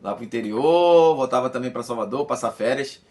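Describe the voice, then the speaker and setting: A man in his fifties from Bahia, a former footballer calmly recounting his old club season, with many fillers and repeated words. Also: mid-range voice, a little fast. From Brazil, Bahia. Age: 50s. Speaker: former footballer giving a testimony. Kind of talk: recorded personal testimony.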